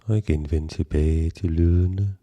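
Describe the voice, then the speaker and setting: A man guiding a relaxation meditation in a calm, measured, instructional way with long pauses. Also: sits low. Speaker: yoga nidra guide.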